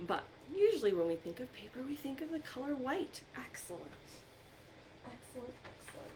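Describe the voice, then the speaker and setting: A woman leading an interactive storytime for young children as a librarian, encouraging them with questions and pauses for answers, recorded on a computer microphone. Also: sits high, sing-song, noisy background. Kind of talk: interactive read-aloud and singing for young children.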